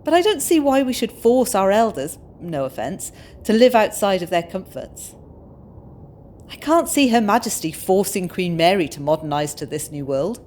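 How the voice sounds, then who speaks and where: A woman's voice: medium pitch, very animated, normal speed, darkish tone, slight background noise, lightly acted. A woman playing a young British expectant mother in an audio drama, polite, earnest and outspoken. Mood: spirited.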